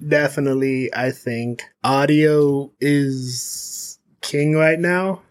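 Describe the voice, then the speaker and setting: Laid-back male podcast host. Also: medium pitch. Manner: relaxed, halting, with restarts and slang. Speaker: podcast host being interviewed. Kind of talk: casual chat.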